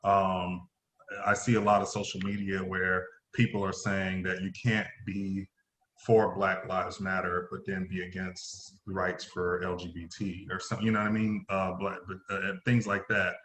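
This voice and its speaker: A man with a low voice talks casually, as a playwright and director would about his work. He slips into quoting himself as he goes. The room around him adds a clear echo. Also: little pitch movement, moderate pace, fairly bright tone. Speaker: playwright, director and acting teacher. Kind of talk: casual conversational account of creative work. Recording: roomy, echoey sound.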